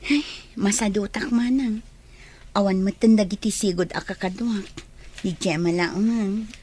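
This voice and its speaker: A woman's voice: mid-range voice, a little slow, mellow, a little hiss or hum, lightly acted. A woman acting as a younger sister in a radio drama, speaking in a casual, familiar way. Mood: listless. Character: bored, teasing younger sister at home.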